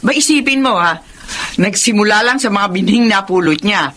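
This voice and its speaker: A woman's voice: medium pitch, expressive, normal speed, fairly bright tone, natural voice. A woman playing a practical, upbeat middle-aged townswoman with a Filipino accent in a radio drama. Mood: pleased, admiring.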